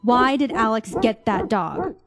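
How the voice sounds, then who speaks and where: An actress in an audio play playing an irate, sarcastic, fed-up wife with a theatrical, exasperated way of talking. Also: female voice, a little high, lively intonation, unhurried, over a noisy crowd. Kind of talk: audio drama dialogue.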